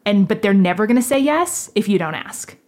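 A woman's voice: medium pitch, animated, a little fast, a little mellow. A woman interviewing in a casual podcast conversation, chatty and affirming, peppering her talk with "like". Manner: chatty, affirming, heavy use of "like".

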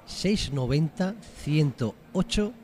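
A man's voice: on the high side, expressive, slow, a little mellow, a little hiss or hum. A man giving a casual, hesitant sales talk, often asking "do you understand?". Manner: hesitant restarts, repeats tag questions. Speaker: guest, company representative. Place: recorded in Spain.

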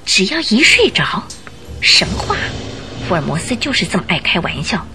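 A woman narrating a radio drama, telling the story in an expressive, suspenseful scripted style. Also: medium pitch, normal speed, over music.

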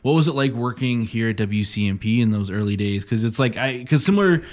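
A man's voice: mid-range voice, lively intonation, a little fast, darkish tone. A man from Minnesota interviewing a guest on the radio, listening closely and asking questions in a casual way. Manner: attentive, frequent short backchannels.

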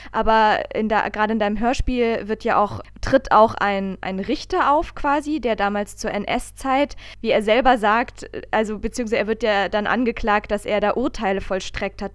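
A woman's voice: medium pitch, clear, resonant tone, no room sound. A woman talking casually as a podcast host, telling things in a rambling, emphatic way. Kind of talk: casual conversational podcast talk.

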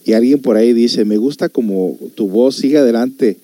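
Dark, mellow-voiced man musing. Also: medium pitch, moderate pace, no echo. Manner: musing, conversational, with rhetorical questions. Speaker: host of a music and reflection show. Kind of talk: casual monologue to listeners.

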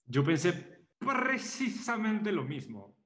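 A man's voice: sits high, slow pace, reverberant. A man discussing a novel in casual conversation, reading quotations aloud now and then. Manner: animated, reads quotations aloud. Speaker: book discussion host.

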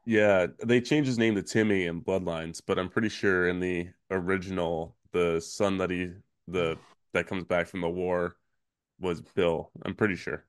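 A man hosting a podcast, his voice raspy and hoarse from a recent illness, reading trivia questions slowly in casual chat. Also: low voice, dry recording, studio quality. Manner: reads questions slowly, relaxed filler-heavy talk. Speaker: podcast host.

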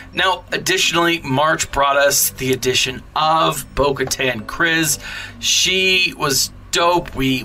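A man's voice: medium pitch, bright, forceful tone, far from the mic. A man hosting a playful storytime recap on a podcast, with informal, exaggerated delivery and joking asides. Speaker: podcast host presenting a storytime segment.

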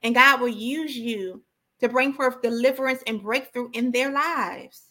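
A woman's voice: fairly high pitch, measured pace, pushed and bright. A woman giving an impassioned, informal sermon as a preacher, exhorting emphatically and repeating key phrases.

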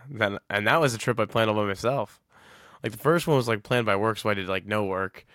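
A man's voice: lowish voice, fairly quick, fairly bright tone, dry recording, studio quality. A man in his twenties hosting a solo podcast, talking casually and reflectively, rambling and often correcting himself. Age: 20s. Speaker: podcast host.

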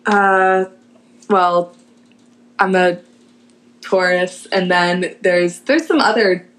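Easygoing young woman co-host, lower voice, teasing and giggly. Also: drawn-out words, a bit bright, low-quality recording. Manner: laid-back, teasing, giggly. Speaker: podcast co-host. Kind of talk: casual chat.

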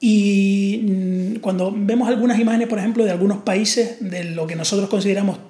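A man's voice: high voice, fairly even intonation, normal speed, dark tone. A man with a Canarian Spanish accent, co-hosting a podcast and telling stories in a reflective, hesitant way. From Canary Islands. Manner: reflective, storytelling, hesitant fillers. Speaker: podcast co-host. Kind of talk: casual conversation. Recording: roomy echo.

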